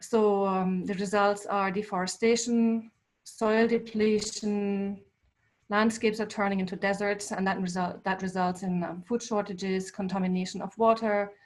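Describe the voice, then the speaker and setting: A woman whose first language is German, giving a thoughtful presentation about her artwork to a small gathering, with frequent pauses and filler words. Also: mid-range voice. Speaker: artist giving a talk. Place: local climate cafe event.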